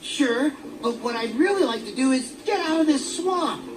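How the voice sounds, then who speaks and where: A man voicing a brash young male dragon hero in a video game, with quick wisecracking lines. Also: very high voice, lively intonation, distant mic, background noise. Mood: cocky. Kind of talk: video game cutscene acting. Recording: music behind, from off mic.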